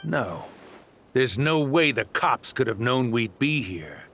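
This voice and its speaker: An actor playing a cornered, contemptuous crime boss in a video game, scornful and agitated. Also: male voice, medium pitch, big pitch swings, slow, a bit bright, exaggerated voice. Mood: rattled, scornful. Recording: faint ambient noise behind.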